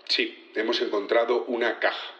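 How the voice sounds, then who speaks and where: A man's voice: medium pitch, level tone, normal speed, fairly bright tone, close to an everyday voice. Man acting as security chief on the witness stand, audio drama, polite n a bit hesitant, echoey dull sound. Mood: hesitant, cooperative. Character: earnest male security chief testifying in court. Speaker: witness in a trial.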